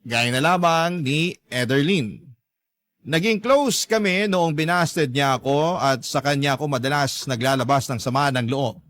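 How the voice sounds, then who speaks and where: A man playing a long-suffering young man who narrates his own story in a measured, confiding way for a radio drama. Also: a little high, fairly bright tone, no echo. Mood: earnest, wistful. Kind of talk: scripted first-person narration for radio drama.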